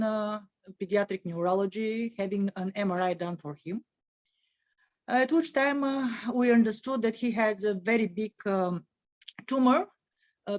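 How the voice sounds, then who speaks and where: A middle-aged woman from Bulgaria with a Bulgarian accent, speaking as an interview guest, pausing often with "uh" and restarting her sentences. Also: mid-range voice, darkish tone, no room sound. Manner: halting, many "uh" fillers and restarts. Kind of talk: conversational interview answer.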